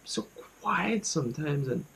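A young man performing a dramatic monologue as an anxious, guilt-ridden student, speaking haltingly and repeating his words. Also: fairly high pitch, animated, fairly bright tone, slight background noise. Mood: troubled, puzzled. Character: anxious, guilt-ridden student confiding about a troubled friend.

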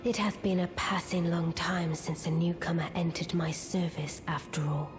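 Woman doing a haughty witch from a fantasy game, deep slow voice, old-timey speech, music playing loud. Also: fairly even intonation, natural voice. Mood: aloof, composed. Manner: archaic, stately, measured. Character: aloof, imperious witch noblewoman. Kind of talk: scripted video game character dialogue.

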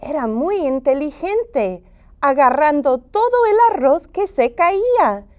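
Children's puppet sidekick: tiny curious monster, bilingual, squeaky-high, swooping intonation. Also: female voice, very animated, measured pace. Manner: switches between Spanish and English, simple childlike phrasing.

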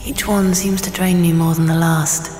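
A woman's voice: lowish voice, level tone, normal speed, darkish tone, soft background music. Echoing, solemn ghostly young woman. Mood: grave. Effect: ghostly echoing reverb. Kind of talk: dramatic game cutscene acting. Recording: echoing long reverb.

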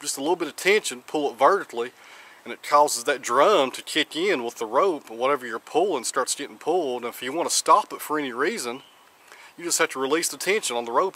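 A man's voice: mid-range voice, a bit bright. Guy in his 30s outside by the road, plain practical how-to talk, step by step, camera mic. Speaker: channel host. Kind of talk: casual how-to explanation. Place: outdoors by a road with trees.

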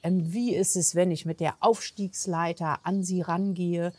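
Slightly husky woman, casual horse trainer. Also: on the low side, fairly even intonation, measured pace, mellow, dry recording. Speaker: horse physiotherapist and trainer, presenter. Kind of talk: casual on-the-spot vlog explanation. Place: outdoors at a horse yard and paddock.

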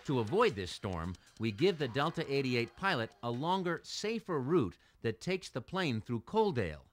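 An American man reading scripted narration for an educational video, explaining things clearly in measured phrases. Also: mid-range voice, big pitch swings, music in the background.

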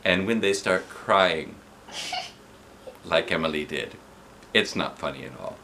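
Animated dad reading aloud at home. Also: male voice, sits low, a little slow, a little hiss or hum. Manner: animated, playful character voices. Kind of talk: expressive read-aloud with asides. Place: living room, seated on sofa.

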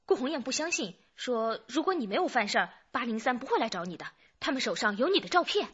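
A woman's voice: a little high, sing-song, fast talker, a bit bright, dull audio, slight background noise. An actress playing a firm, probing female police detective in a radio drama. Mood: urgent, reporting.

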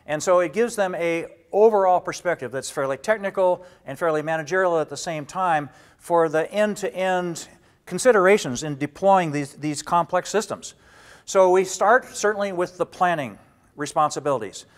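Older man, fairly high voice, measured and explanatory, restarts phrases, lapel mic, studio. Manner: measured and explanatory, gesturing with both hands, frequent restarts. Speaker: data warehouse instructor. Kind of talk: explaining a training course to camera.

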